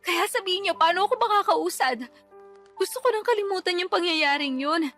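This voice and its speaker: A woman's voice: very high voice, lively intonation, fairly quick, fairly bright tone, soft background music, exaggerated voice. An actress playing a desperate young mother who pleads and defends her choices in a radio drama. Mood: pleading.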